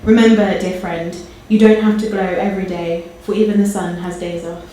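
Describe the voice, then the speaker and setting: A woman's voice: on the low side, fairly even intonation, brisk. Woman reading in a very echoey hall. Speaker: guest poetry reader and friend. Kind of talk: personal tribute and poetry reading. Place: indoor live launch event. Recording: very echoey hall, faint background noise.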